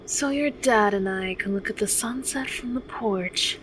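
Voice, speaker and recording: A woman playing a fond, gently teasing frontier mother in an audio drama. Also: medium pitch, measured pace, a little hiss or hum, natural voice.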